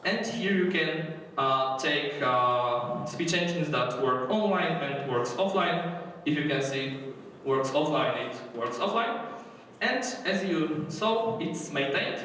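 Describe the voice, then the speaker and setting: A man's voice: on the high side, expressive, slow pace, pushed and bright. A man with a Polish accent presenting a coding workshop in English, talking hesitantly with many fillers and restarts. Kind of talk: live coding workshop talk. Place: conference hall. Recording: echoey hall, distant mic, faint noise.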